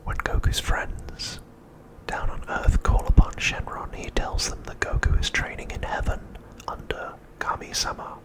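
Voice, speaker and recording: A man's voice: a little slow, low-quality recording. Whispering man, ASMR narration. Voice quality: breathy, unvoiced whisper. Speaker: ASMR host.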